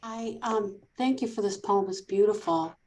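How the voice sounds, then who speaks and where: A woman's voice: mid-range voice, moderate pace, a little mellow, slight background noise. A woman joining a video call discussion, speaking hesitantly with many ums and restarts. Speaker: participant. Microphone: video call computer mic.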